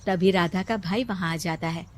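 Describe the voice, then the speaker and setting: A woman's voice: on the low side, moderate pace, dry recording. A woman storyteller reading scripted narration for a moral tale.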